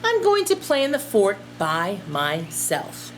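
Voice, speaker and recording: A woman voicing a determined, self-reliant little girl from a children's storybook, speaking in a deliberate, emphatic way. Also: mid-range voice, wildly swooping pitch, soft background music. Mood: indignant. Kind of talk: children's storybook read-aloud.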